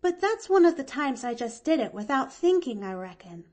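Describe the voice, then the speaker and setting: A woman's voice: high-pitched, big pitch swings, moderate pace, voice pushed up. A woman voicing a cheerful, earnest young orphan girl who chatters eagerly in an audiobook story. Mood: earnest, bright. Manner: bright, eager, emphatic chatter. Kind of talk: expressive audiobook character reading.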